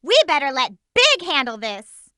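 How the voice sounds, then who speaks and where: A woman's voice: high-pitched, sing-song, normal speed, pushed and bright, dry recording, heavily acted. A woman voicing a spirited, bossy teenage girl hedgehog in a video game, delivering hints in an upbeat, emphatic way, recorded close in a studio. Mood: eager. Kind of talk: game voice lines and tutorial hints.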